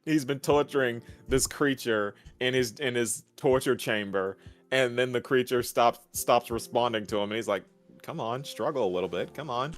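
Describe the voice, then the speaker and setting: A person in a casual video game discussion, talking in an animated, exclamatory and giggly way. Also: medium pitch, moderate pace, soft background music. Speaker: guest co-commentator.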